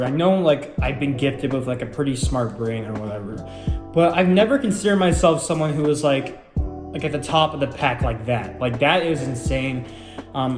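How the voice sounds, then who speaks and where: A young man in his late teens, rambling casually through viewer questions with lots of fillers and self-deprecating asides. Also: on the high side. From United States. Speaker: YouTuber answering viewer questions. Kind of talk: casual Q&A chat. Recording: roomy room with background music.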